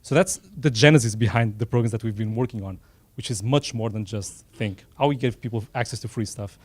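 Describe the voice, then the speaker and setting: A man's voice: medium pitch, brisk, no room sound. Earnest Portuguese-accented man speaking off the cuff. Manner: earnest, unscripted, frequent self-deprecating asides. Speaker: event sponsor speaker. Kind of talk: informal speech at a dinner event. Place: small room at a dinner event.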